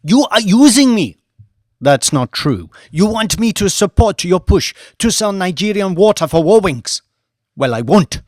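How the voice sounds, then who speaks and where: A man's voice: high-pitched, big pitch swings, a little slow, a little mellow, no echo, clean studio sound, pitched above the performer's own voice, full character voice. A man voicing a proud, suspicious Nigerian woman activist who makes indignant, emphatic declarations in an audiobook. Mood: angry, accusing.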